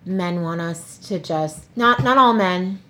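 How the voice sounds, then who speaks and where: A woman co-hosting a podcast in casual chat, animated, swearing and mocking people in quoted voices. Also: on the low side, slow pace, a little hiss or hum. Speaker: podcast co-host.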